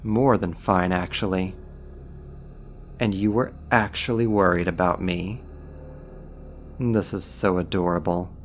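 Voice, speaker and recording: Man acting a slow, low, seductive demon. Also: steady tone, a little hiss or hum. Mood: possessive, coaxing. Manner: teasing, smug, deliberate delivery. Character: seductive, amused, possessive male archdemon, condescending to humans. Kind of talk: ASMR roleplay acting, intimate second-person monologue.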